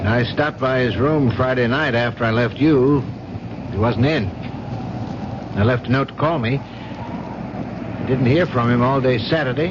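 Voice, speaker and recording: An actor playing a polite, concerned lab doctor in a 1970s radio drama, hesitant and gently probing. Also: male voice, mid-range voice, natural voice. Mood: sympathetic, puzzled. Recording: muffled, noisy 1970s radio recording.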